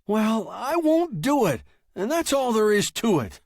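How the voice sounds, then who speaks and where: A man's voice: sits high, big pitch swings, slow pace, no echo, studio quality, voice pushed up, exaggerated voice. A man acting a stubborn, flustered male writer in a story, protesting in a melodramatic, emphatic way. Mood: defiant, loud.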